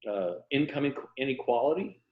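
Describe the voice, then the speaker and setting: A man's voice: mid-range voice, very animated, brisk. A mature American man talking casually and reflectively as a writer being interviewed. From Tampa, Florida, United States. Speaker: interview guest, writer and former journalist. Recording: roomy echo, dull muffled sound.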